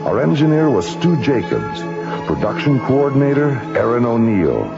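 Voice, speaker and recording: An actor playing a solemn, portentous documentary-style narrator and announcer on a late-1970s science fiction radio show. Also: male voice, medium pitch, very animated, normal speed, creaky. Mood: formal. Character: grave, dramatic male documentary-style narrator. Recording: muffled vintage radio recording, loud music bed.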